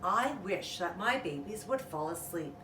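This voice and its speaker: A woman's voice: fairly low pitch, expressive, a little slow, bright, forceful tone, reverberant, far from the mic, slight background noise. A woman voicing a polite, weary but patient mother mouse in a storybook reading. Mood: tired, patient.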